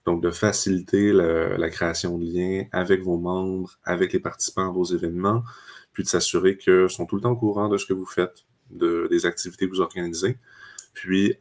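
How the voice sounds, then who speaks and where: A man presents software during an online webinar. His voice sits very low. He explains things steadily and uses frequent fillers. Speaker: customer success manager.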